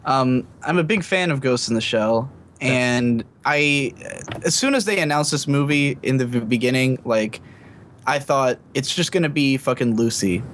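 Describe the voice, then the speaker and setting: Male podcast panelist, mid-pitched, opinionated and rambling, blunt language, slightly noisy rumbling recording. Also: moderate pace, a bit bright. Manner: rambling, blunt, frequent swearing. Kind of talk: casual opinionated podcast discussion. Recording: clearly noisy mic with low rumble.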